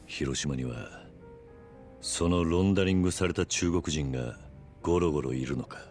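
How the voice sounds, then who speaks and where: A resolute middle-aged tough man in a video game cutscene. His voice is exceptionally deep, his delivery terse and grave. Soft music sits behind him. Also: normal speed. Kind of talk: video game drama acting.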